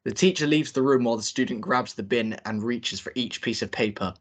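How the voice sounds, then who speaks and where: A man reading out the stage directions at a table read in a plain, steady way. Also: mid-range voice, fairly quick, a little hiss or hum.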